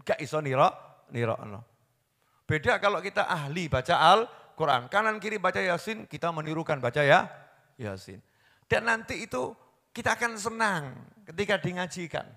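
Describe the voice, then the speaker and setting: Guy preaching, high bright voice, goes quiet then loud, big echoey hall. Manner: swings from hushed storytelling to loud impassioned pleading, mixing in local words. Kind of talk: sermon. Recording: long hall reverb.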